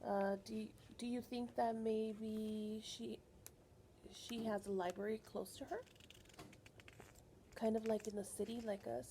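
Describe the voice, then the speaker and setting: A woman reading a picture book aloud to young children as a librarian, animated and teacherly, often pausing to put questions to her listeners. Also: fairly high pitch, a little hiss or hum.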